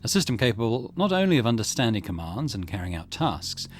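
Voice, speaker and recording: A man voicing a calculating, ambitious tech executive in a visual novel, speaking in a measured, formal, lecturing way. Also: lowish voice, very animated, slight background noise. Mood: confident, lofty.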